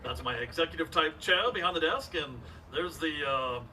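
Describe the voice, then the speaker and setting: A man acting a good-natured, skeptical middle-aged small-town suitor in an old-time radio comedy, hesitant and full of drawn-out uhs. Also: on the high side, animated, pushed and bright, a little hiss or hum. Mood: weary, teasing. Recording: stage radio play, distant mic, music behind.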